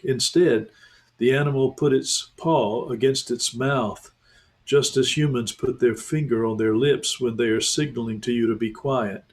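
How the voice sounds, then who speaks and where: A man, a pastor, reading a children's novel aloud in a calm, measured way. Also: medium pitch. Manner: calm, measured, slows for tension. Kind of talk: storybook read-aloud.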